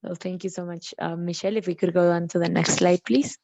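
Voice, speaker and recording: A woman hosting an online workshop, friendly and organized, pausing often with fillers. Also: on the low side, little pitch movement, gravelly, a little mellow, no echo. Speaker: workshop host and mentor. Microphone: video call. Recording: dull video call audio.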